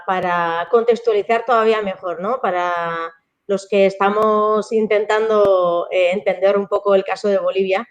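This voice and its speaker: A woman hosting a live online interview, speaking hesitantly and translating questions from the audience. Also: mid-range voice.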